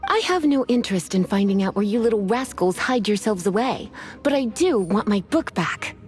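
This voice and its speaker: A woman voicing a sultry, teasing librarian who speaks in a languid, gently threatening way, in a video game with music behind. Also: mid-range voice, animated, normal speed, natural voice. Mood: stern.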